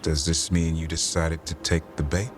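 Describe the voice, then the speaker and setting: A gruff, restless elf warrior from a fantasy video game. His voice is low and grounded, fond toward his animal companion, with music playing behind him. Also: little pitch movement, normal speed. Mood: warm. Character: restless male elf Grey Warden, gruff, fond griffin handler. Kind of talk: video game dialogue acting. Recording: music under dialogue.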